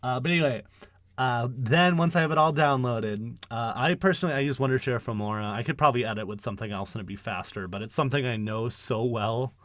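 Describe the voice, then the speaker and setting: A man hosting a casual podcast, with a brash, low voice and a rambling way of talking full of fillers and restarts. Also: fast, fairly bright tone, no room sound, clean studio sound. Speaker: podcast host.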